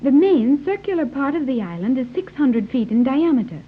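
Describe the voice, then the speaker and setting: A woman's voice: high voice, big pitch swings, normal speed, slightly rough, heavily acted. A woman playing Joan, a precocious, formal young girl raised by scientists, in a 1930s radio serial. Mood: precise, explaining. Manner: precise, formal diction. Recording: muffled, tinny 1930s radio recording.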